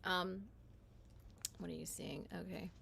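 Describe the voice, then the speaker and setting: A woman talking alone on a livestream from her home, rambling with halting restarts. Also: on the low side, steady tone, creaky, fairly bright tone, slight background noise. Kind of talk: casual livestream monologue.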